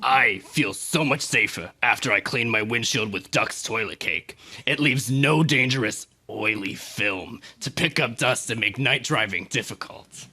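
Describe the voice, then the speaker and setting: Earnest motorist testimonial, old-time radio ad, played by a man: raspy, very bright, stiff. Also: mid-range voice, a little slow, heavily acted.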